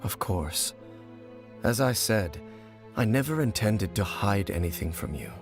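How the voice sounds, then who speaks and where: A grave, solemn game wanderer. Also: male voice, on the low side, lively intonation, soft background music. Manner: solemn, measured, formal. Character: grave, mysterious male wanderer, guarded and solemn.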